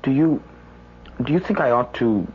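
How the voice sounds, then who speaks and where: Radio drama doctor male actor, nervous and hesitant, lively pitch, muffled broadcast with slight noise. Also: normal speed, natural voice. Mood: rattled, unsure. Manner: hesitant, stammering, flustered. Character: nervous young male hospital doctor on duty, rattled. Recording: muffled, lightly noisy old radio broadcast.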